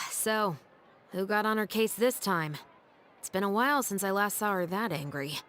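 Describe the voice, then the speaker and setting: An actress playing a dutiful, wary patrol captain in a game, speaking in a dry, measured, skeptical way. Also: female voice, mid-range voice, lively intonation, a bit bright, lightly acted. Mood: serious. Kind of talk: game cutscene voice acting. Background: game music.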